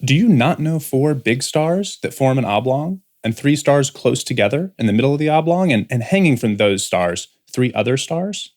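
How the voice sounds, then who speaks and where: A man's voice: medium pitch, lively intonation, normal speed, few pauses, darkish tone, close to an everyday voice. A man acts out a grown son in a science fiction audiobook. He is restless and rebellious, pressing his case with earnest intensity, his intonation rising and falling widely. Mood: wistful. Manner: insistent, intense, pleading. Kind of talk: full-cast audiobook acting.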